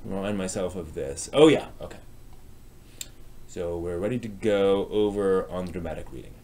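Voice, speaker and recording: A man's voice: low voice, normal speed, dark tone, slight background noise. A man hosting a live stream, chatting casually and ramblingly as he responds to viewers. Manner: rambling, reacting to chat as it comes.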